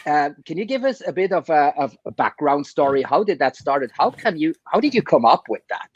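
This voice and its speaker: A man's voice: fairly high pitch, sing-song, brisk, breathy edge, highs cut off. A man hosting a casual interview, asking questions and reacting eagerly with short exclamations. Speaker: interviewer, host.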